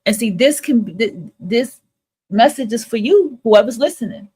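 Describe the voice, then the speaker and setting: A woman's voice: a little high, expressive, moderate pace, dark tone, breathy edge. A woman host talking casually and candidly to her listeners in an emphatic, repetitive, motivational monologue, swearing freely. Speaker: host of a spiritual advice livestream.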